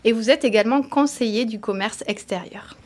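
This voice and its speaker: A woman's voice: on the high side, a little fast. A woman hosting a televised studio discussion, who introduces guests politely and steers the talk with short questions. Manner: polite, guiding, brief questions. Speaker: TV host.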